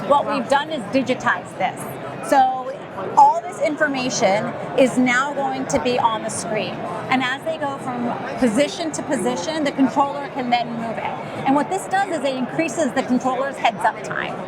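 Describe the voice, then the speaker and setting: A woman with a high voice giving brisk, assured answers about a new system. Loud crowd noise surrounds her. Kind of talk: product demonstration.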